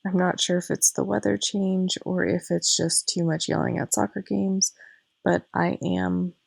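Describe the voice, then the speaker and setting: A woman in her thirties narrates a crafting session in a relaxed, chatty voice-over. Her voice is hoarse, pitched a little low and full of gravelly fry. She explains each step as she goes and is quick to point out her own mistakes, recorded close and dry with no room sound. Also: no echo. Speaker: planner vlogger.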